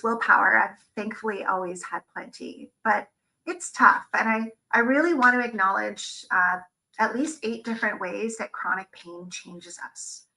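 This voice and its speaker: A woman's voice: on the high side, creaky, pushed and bright, poor audio. A woman giving a live conference presentation from her slides, talking about her own experience in a candid, personal way.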